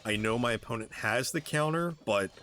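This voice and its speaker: A man giving casual, halting commentary on his own strategy game match, thinking aloud as he plays. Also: medium pitch, animated, fairly bright tone. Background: background music.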